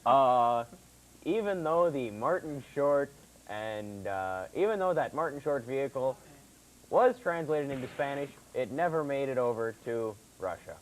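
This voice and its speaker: A man hosting a comedy puppet segment, talking haltingly as he banters and tries to keep a straight face. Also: mid-range voice, expressive. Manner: halting, breaks into chuckles. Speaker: host. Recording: noisy amateur TV recording.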